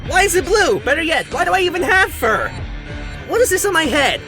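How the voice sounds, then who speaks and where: Man acting a frantic, high-pitched hedgehog guy. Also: very animated, a little fast, over music. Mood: panicked, shouting. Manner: frantic, disbelieving, exclaiming. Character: panicked young man turned into blue hedgehog. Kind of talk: amateur audio drama acting.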